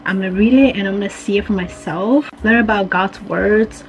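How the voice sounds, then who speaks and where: A woman's voice: mid-range voice, brisk. A woman in her twenties from China, a native Chinese speaker, talking casually and confidingly as a vlogger, often saying "like" and "you know". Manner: informal, frequent "like" and "you know". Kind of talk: casual chatty video diary, confiding. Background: music.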